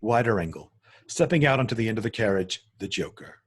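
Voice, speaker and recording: A man serves as narrator for a screenplay table read, delivering scene headings and action lines. His voice sits fairly low and has a noticeably husky, rough edge. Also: animated, fairly quick. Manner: stumbles and corrects himself while reading. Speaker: narrator reading stage directions.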